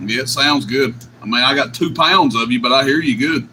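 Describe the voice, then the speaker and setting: A man's voice: mid-range voice, brisk. A man bantering casually on CB radio in a teasing, colloquial manner. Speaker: CB radio operator. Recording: noisy CB radio transmission. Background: radio noise.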